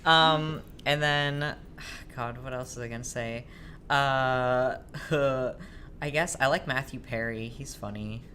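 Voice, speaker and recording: A woman co-hosting a casual group chat, thinking aloud in a halting way. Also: extremely low pitch, fairly bright tone, a little hiss or hum. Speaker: podcast co-host.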